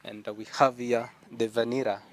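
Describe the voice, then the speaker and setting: A man vlogging outdoors in a village, slowly explaining things on a casual tour and laughing often. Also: medium pitch, level tone. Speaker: vlogger giving a farm tour. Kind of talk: casual guided tour chat.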